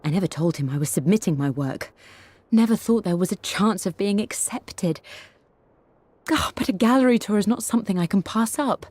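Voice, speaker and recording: A woman's voice: medium pitch, animated, normal speed, darkish tone, breathy edge, no echo. An actress playing a devoted English painter and wife, reading her private journal in a reflective, tender way for a game. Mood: quietly pleased. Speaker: voice actor. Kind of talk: dramatic reading of a personal letter or journal.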